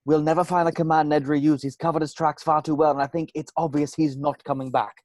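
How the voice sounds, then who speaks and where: A man's voice: fairly high pitch, little pitch movement, fast, a little mellow, no room sound, clean studio sound, lightly acted. A man playing a genteel, grandfatherly park owner with courtly, formal phrasing in an amateur screenplay table read. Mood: persuasive.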